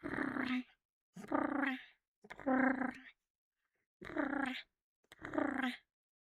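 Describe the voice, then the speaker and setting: A woman livestreaming ASMR in a playful, cutesy catgirl manner, soft and breathy, often whispering, close to the microphone. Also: high-pitched, monotone, drawn-out words, no echo. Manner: playful, cutesy catgirl persona, with giggles and purring. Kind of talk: ASMR, intimate chat to live listeners.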